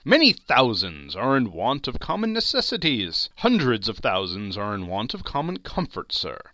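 A man's voice: mid-range voice, huge pitch swings, moderate pace, sharp tone, no echo. A man voicing a polite, portly charity collector, formal and persuasive, in a dramatic storybook reading. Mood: earnest, persuasive.

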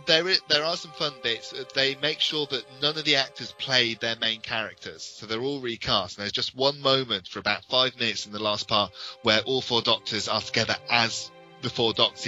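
A man's voice: mid-range voice, brisk, sharp tone. A British man co-hosting a podcast, talking casually and frankly as an opinionated fan. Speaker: podcast co-host. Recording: dull lo-fi audio with music.

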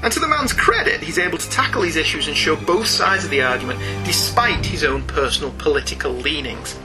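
Guy with a kinda high voice reading his podcast script, music playing behind him. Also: expressive, brisk, low-quality recording. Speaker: podcast host. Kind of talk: scripted narration, comic book review.

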